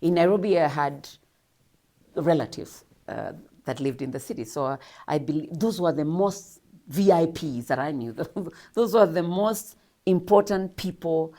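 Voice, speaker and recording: A woman's voice: low-pitched, a little slow, dark tone. A Kenyan woman telling her life story as an interview guest, speaking in a reflective, earnest way with laughs now and then.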